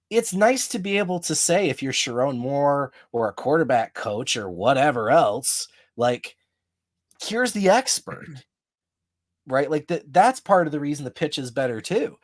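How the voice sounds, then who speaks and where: A man co-hosting a casual sports podcast, laying out his point in a steady, reasoned way. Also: a little high. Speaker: podcast co-host.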